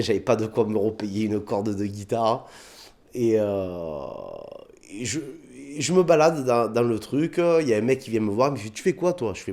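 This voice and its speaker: A man with a southern French accent, a comedian telling anecdotes in a casual interview, acting out bits of dialogue. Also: lowish voice. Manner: animated anecdotes with put-on dialogue, many fillers.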